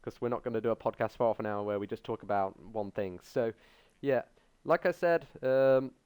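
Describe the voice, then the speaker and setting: A man's voice: medium pitch, moderate pace, a little mellow, clear, resonant tone. A young man hosting a casual tech podcast, talking hesitantly with many ums. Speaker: podcast host.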